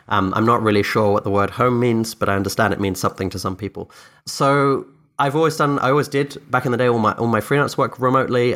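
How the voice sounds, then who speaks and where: A man's voice: lowish voice, fairly bright tone. A man whose first language is Dutch, a startup founder giving thoughtful, rambling interview answers with many restarts and fillers.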